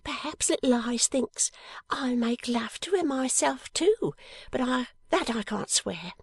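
A woman voicing a sharp, impatient, bossy young dolls' dressmaker in an audiobook, with emphatic, scolding delivery. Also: high-pitched, lively intonation, a little slow, slightly rough, no room sound, studio quality, pitched above the performer's own voice, heavily acted. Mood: excited, scheming. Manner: emphatic, scolding, theatrical exclamations. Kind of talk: dramatised audiobook character reading.

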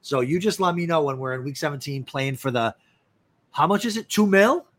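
A man's voice: fairly high pitch, expressive, a little fast, fairly bright tone. A man co-hosting a fantasy sports show in casual, informal chat, often repeating words as he goes. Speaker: fantasy football show co-host.

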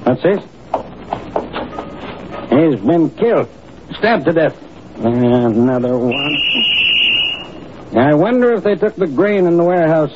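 An actor playing an evasive, fussy and officious police inspector in a 1950s radio drama. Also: male voice, on the high side, animated, takes it slowly, pauses often. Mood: alarmed. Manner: fussy, defensive. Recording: tinny, hissy old radio recording.

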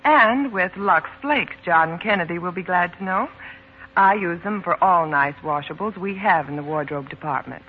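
A woman's voice: lowish voice, moderate pace, a little hiss or hum. A woman guest in scripted 1940s radio promotional chat, speaking politely and with a rehearsed delivery. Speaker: guest in a scripted sponsor segment. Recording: dull vintage 1940s radio broadcast.

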